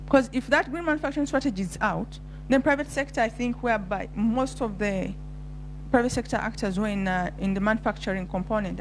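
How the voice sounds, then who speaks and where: A woman's voice: mid-range voice, noisy background. A woman speaking as a panelist for a research institution, giving spontaneous remarks full of restarts and fillers. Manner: halting, many restarts and fillers.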